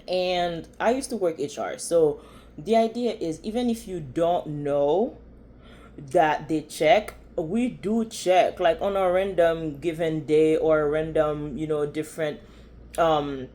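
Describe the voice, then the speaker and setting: A woman's voice: low-pitched, a little slow, warm tone. A woman with a Haitian accent giving blunt, chatty commentary as a vlogger reacting to online threads. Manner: blunt, opinionated, chatty with many fillers.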